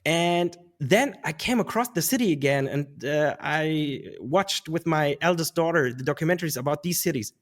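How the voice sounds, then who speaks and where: Guy in his 30s, talks kinda high, rambles and laughs a lot. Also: moderate pace, hardly any pauses. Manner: rambling, restarts, laughs often. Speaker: guest. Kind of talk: casual interview conversation.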